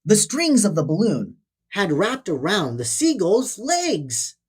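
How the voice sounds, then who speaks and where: Female storybook narrator, expressive, slow-paced and highly animated. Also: breathy edge. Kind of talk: scripted children's story read-aloud.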